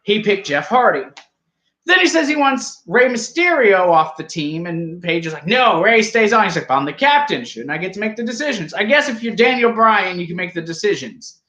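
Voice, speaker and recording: A man's voice: high voice, sing-song, normal speed, bright, forceful tone, roomy sound. A man casually reviewing a wrestling show, rambling and joking as he argues with his co-host. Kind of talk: casual live review chat.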